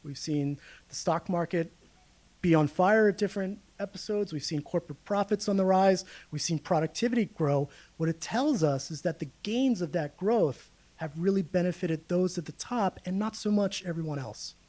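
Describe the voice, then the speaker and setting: A man's voice: high voice, moderate pace, a little mellow. A man gives a public lecture as a guest researcher, explaining data to a room in a conversational, informal way and checking in with his listeners. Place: speaking live to a room of listeners.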